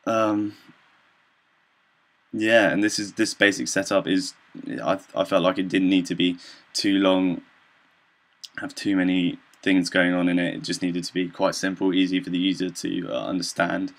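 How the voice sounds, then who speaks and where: A young man giving an informal student demonstration of software, talking in a hesitant, halting, loosely explanatory way. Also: deep voice, little pitch movement. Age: young adult. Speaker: student presenter.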